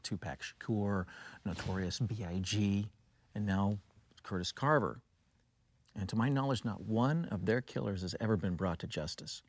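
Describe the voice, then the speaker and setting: An actor playing a solemn, self-important American senator in a video game, speaking in formal oratory. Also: male voice, fairly low pitch, moderate pace. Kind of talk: political press statement.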